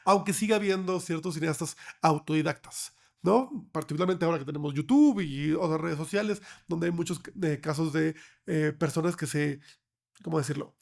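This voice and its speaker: A slightly hoarse man with a Mexican accent explaining things conversationally as a podcast guest, often ending on tag questions. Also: on the high side, normal speed, darkish tone. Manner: checks in with frequent tag questions. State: slightly hoarse from getting over a cold. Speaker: podcast guest, film researcher.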